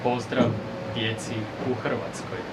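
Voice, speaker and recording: Croatian visitor, halting English. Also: medium pitch, far from the mic. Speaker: visitor presenting a gift. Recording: loud room noise.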